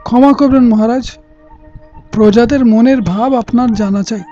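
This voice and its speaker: Bold petitioner, mellow-toned. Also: male voice, extremely high pitch, normal speed. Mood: insistent, accusing. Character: bold, aggrieved male subject petitioning his king. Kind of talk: Bengali audio play acting. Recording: dull lo-fi audio play, faint music.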